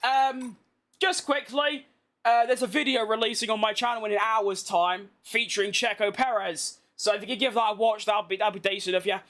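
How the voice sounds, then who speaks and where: Tired, chatty British man, very high voice. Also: steady tone, moderate pace, bright, forceful tone. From Britain. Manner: chatty, rambling, self-deprecating. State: tired from being awake all day. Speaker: gaming streamer. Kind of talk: casual live-stream gameplay chat.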